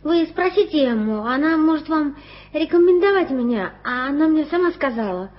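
A woman's voice: sits high, expressive, normal speed. A woman voicing a timid, eager street urchin girl in a radio play, talking in a stumbling, breathless rush. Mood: eager, flustered. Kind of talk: 1980s radio play acting. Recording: dull lo-fi old radio recording.

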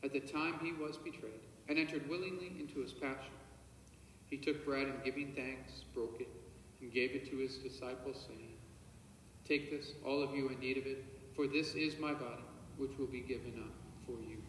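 Priest saying Mass in an echoey church. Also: male voice, mid-range voice, steady tone. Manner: measured, solemn liturgical recitation, quiet murmured private prayers. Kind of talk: celebrating Mass, reciting liturgical prayers. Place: large church sanctuary at the altar. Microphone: far camera. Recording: echoey church from far off, faint hiss.

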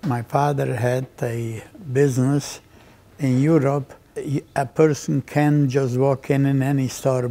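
An older man from Czechoslovakia reminisces informally for an interviewer. He talks slowly in a mellow, creaky voice of average pitch. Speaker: Holocaust survivor interviewee. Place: quiet indoor library.

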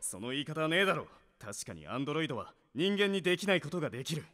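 A man playing a fiery young male team athlete in an anime visual novel, rough and forceful in speech. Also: on the high side, sing-song, quick pace, big-room sound. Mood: urgent, scolding.

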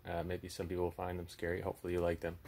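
A man's voice: deep voice, monotone, very fast, vocal fry, a little mellow. A man in his thirties hosting a puppet show, chatting casually and reading a horror story aloud.

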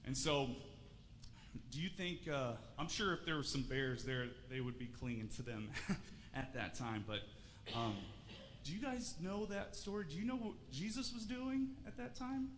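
A man's voice: fairly high pitch, expressive, normal speed, fairly bright tone. Man giving a children's sermon in a church hall. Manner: friendly, questioning, many fillers and restarts. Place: church sanctuary, children seated in front. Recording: church hall reverb, faint room noise.

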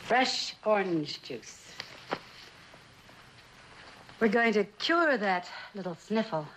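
A woman's voice: medium pitch, sing-song, slow, background noise. An actress playing a genteel, middle-aged mother who clings tenderly and hesitantly to her daughter, in a vintage suspense drama. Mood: doting. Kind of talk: 1960s television suspense drama acting.

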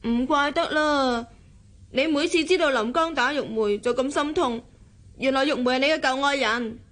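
A woman's voice: high-pitched, moderate pace, fairly bright tone. A woman with a Hong Kong Cantonese accent acting a jealous, coquettish, childlike young wife in a radio drama, whiny and sulking.

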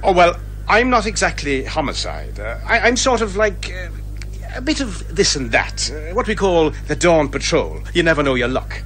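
A man's voice: fairly high pitch, sing-song, moderate pace, a bit bright, slight background noise. A man playing a bumbling, genial police inspector who rambles hesitantly and folksily in a radio comedy drama. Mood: affable, flustered.

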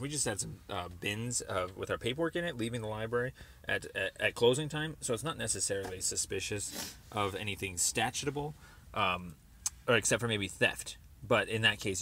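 A man making a phone call, explaining a complaint in a halting, wordy, circling way. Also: lowish voice, animated, bright, forceful tone. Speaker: caller. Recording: noisy phone call recording.

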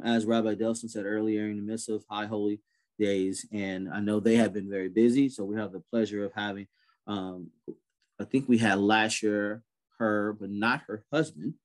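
A man in his thirties hosting an online prayer event, speaking casually with many fillers over a webcam. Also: lowish voice. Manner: relaxed, many fillers and restarts. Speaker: event host. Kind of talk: casual moderating on a video call. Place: indoor room on a video call. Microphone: webcam on a video call.

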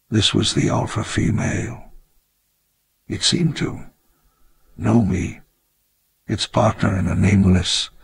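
Aging park visionary, game voice-over: very slow, gravelly, solemn and reflective. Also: male voice, on the low side, a little hiss or hum, full character voice. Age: older. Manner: measured, reflective, grandly solemn. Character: elderly grandiose dinosaur-park founder, wistful. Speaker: narrator of his own memoir. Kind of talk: scripted memoir narration for a game.

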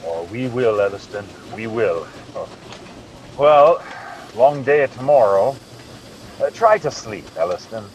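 A man acting in a radio drama as a loyal, hesitant gentleman sidekick who talks in a halting, musing way. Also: mid-range voice, animated, natural voice. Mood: reassuring. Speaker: radio play actor. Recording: noisy video call audio.

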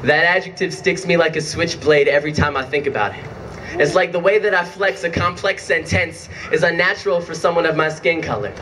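A man's voice: high voice, pushed and bright, slight background noise. A young man performing spoken word poetry at an outdoor rally with punchy, rhythmic phrasing, heard through a PA from a distance.

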